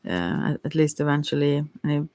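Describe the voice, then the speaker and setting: A woman's voice: low-pitched, expressive, a little fast, darkish tone, no echo. Hesitant woman giving a close, dry ASMR reading. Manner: hesitant, stammering, often trailing off and rephrasing. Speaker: tarot reader. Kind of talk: intimate ASMR tarot reading, casual and rambling.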